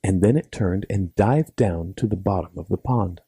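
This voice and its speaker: Very dark-voiced male storyteller. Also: low voice, moderate pace, slightly rough, no room sound, studio quality. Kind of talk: scripted children's bedtime story narration.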